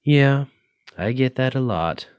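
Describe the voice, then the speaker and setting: A man's voice: mid-range voice, lively intonation, takes it slowly, darkish tone, clear, resonant tone, no room sound. A man playing a flirtatious, slightly possessive dragon-blooded librarian in an intimate, teasing ASMR romance roleplay. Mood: amused, explaining. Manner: intimate, teasing, uses pet names.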